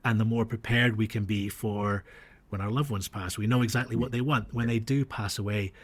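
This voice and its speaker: A man's voice: on the low side, steady tone, a little fast. A man born in Australia who grew up internationally, telling anecdotes as a podcast guest in a reflective, hesitant, conversational way. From born in Australia, grew up across the US and Dubai.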